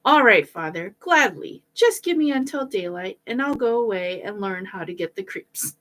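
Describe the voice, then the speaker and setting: A woman's voice: mid-range voice, expressive, normal speed, a bit bright, lightly acted. A woman voicing a bold, naive young boy in a fairy tale, earnest and calling out boldly. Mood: earnest, untroubled.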